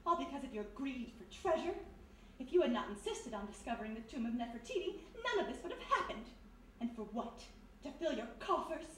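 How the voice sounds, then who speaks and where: A very high-pitched melodramatic heiress. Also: female voice, big pitch swings, brisk, fairly bright tone. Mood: accusing. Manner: theatrical, breathlessly dramatic, plaintive. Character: melodramatic young society divorcee, clinging, despairing daughter. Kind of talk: live radio mystery drama acting. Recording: very echoey hall, distant mic, faint noise.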